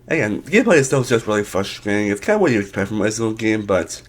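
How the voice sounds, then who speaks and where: A man's voice: fairly low pitch, sing-song, very fast. A young man hosting a casual game ranking video, rambling with many restarts, his voice a little off from allergies. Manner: rambling, with frequent restarts and fillers. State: voice a bit off from allergies. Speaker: video game reviewer, channel host.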